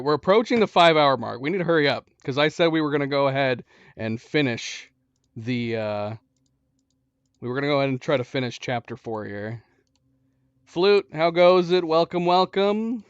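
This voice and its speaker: A man's voice: fairly high pitch, lively intonation, normal speed, smooth, no room sound, highs cut off. A man with an American accent giving casual live commentary while playing a video game, chatting with viewers and laughing often. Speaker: gaming streamer. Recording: game music underneath.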